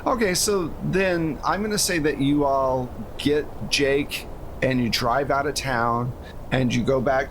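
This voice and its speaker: A man's voice: medium pitch, low-quality recording. A man hosting a tabletop roleplaying game as game master, talking casually and explaining things in a conversational way. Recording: noticeable background noise.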